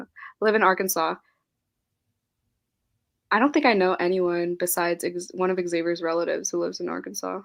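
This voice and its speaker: A woman's voice: mid-range voice, creaky. Young woman on a book livestream, giggles a lot, kinda scattered, reads out comments. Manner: giggly, scattered, laughs often. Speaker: host of a live book chat. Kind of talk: casual live-stream chat reading comments aloud.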